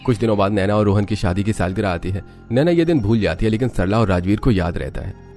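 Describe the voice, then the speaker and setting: A man narrates a scripted family story. His voice is a bit low and the delivery is fast, with light music underneath. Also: lively intonation, a little mellow.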